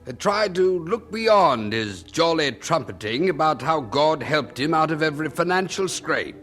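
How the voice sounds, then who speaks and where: A man's voice: medium pitch, fairly bright tone. An actor playing a candid, reflective man who narrates a radio drama in a wry, folksy first person. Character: wry, candid man recalling his exasperating old friend.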